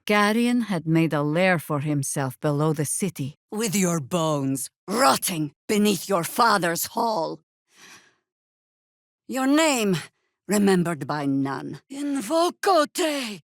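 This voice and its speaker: Slow-spoken, stern old warrior druid. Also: female voice, lowish voice, very animated, no echo, exaggerated voice. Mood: cold, solemn, then fierce battle cries. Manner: measured, dry, commanding. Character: weathered old female Harper druid warrior, stern, wry. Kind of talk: dramatic video game voice acting.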